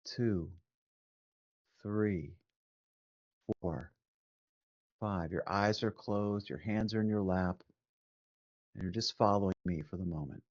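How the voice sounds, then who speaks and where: A man leading a guided meditation as a teacher, calm and measured, giving gentle instructions with long pauses. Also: low-pitched. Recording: phone-like narrow band, roomy echo.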